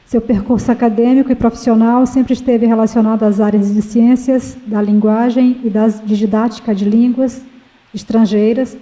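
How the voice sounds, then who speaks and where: A woman with a Brazilian accent moderating a panel, reading a formal academic introduction in a halting way with self-corrections. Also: on the high side, little pitch movement, warm tone, poor audio.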